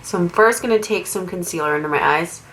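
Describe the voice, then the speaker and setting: Nasal-sounding woman, low voice, chatty. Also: fast talker, background noise. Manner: rambling and casual, lots of "like" fillers. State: nasal from a lingering cold. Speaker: makeup artist. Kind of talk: chatty get-ready-with-me talk while doing makeup.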